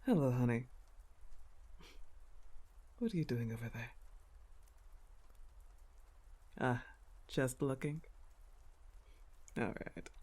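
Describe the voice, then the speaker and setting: A woman playing a dominant, indulgent, coolly amused girlfriend in an intimate, partly whispered ASMR roleplay, coaxing and teasing with a husky, breathy voice. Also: a bass voice, exaggerated voice. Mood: casual, coy. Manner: slow, coaxing, teasing questions with soft chuckles.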